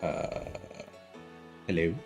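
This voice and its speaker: A man livestreaming a video game, chatting casually in a rambling, halting way with long pauses. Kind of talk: casual chat while playing a game. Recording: faint game music behind. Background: game music.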